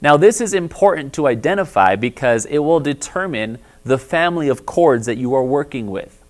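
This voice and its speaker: A man with a lower bass-baritone voice, teaching a church music lesson in a friendly, explanatory way and often checking in with "all right?". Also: animated, moderate pace, a little mellow. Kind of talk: instructional video lesson.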